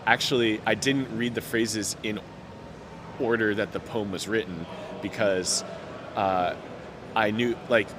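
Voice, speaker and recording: A young man with a fairly low voice who stutters, repeating sounds and breaking off words as he talks openly and earnestly about his own experience. He is recorded outdoors, with clear city noise and passing traffic behind him. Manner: earnest, open, many fillers. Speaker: interviewee. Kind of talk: reflective personal story. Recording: noticeable outdoor background noise.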